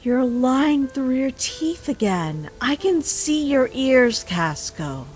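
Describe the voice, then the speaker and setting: A woman voicing a wise, nurturing mother deer in an animated comic dub, gentle and unhurried with a teasing side. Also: sits high, faint music behind, exaggerated voice. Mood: knowing, concerned.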